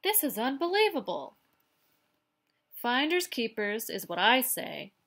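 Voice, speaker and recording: A woman voicing an excitable, possessive and exasperated pigeon in a children's storybook, with dramatic, emphatic delivery. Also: fairly high pitch, a little slow, heavily acted. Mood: outraged. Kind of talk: children's picture-book read-aloud.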